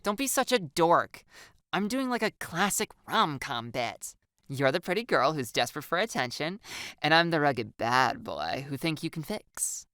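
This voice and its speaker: A woman performs a playful girl in an audiobook drama, full of theatrical teasing and slangy pleading. The tone is bright and clear, and the pitch moves freely up and down. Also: very animated, normal speed, no room sound, clean studio sound. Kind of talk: audiobook character dialogue.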